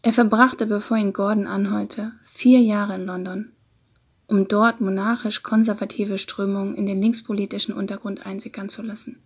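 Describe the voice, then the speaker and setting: A woman reading literary narration in a breathy voice that often slips toward a whisper. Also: medium pitch, flat delivery, moderate pace, darkish tone. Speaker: audiobook narrator.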